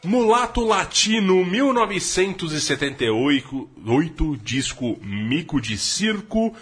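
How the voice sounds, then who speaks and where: A man hosting a music podcast, talking casually about songs and albums and often pausing to think aloud. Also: a little high, big pitch swings, fairly bright tone, breathy edge. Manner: thinks aloud with frequent hesitations.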